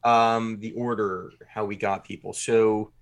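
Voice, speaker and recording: A man's voice: fairly low pitch, flat delivery, unhurried, clean tone. A man being interviewed as a podcast writer and producer, talking casually and colloquially in short bursts.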